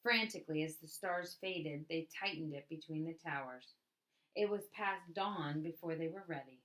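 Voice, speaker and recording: A woman reading a picture book aloud as a storyteller, with expressive narration and dramatic emphasis on key words. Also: deep voice, moderate pace, rough recording.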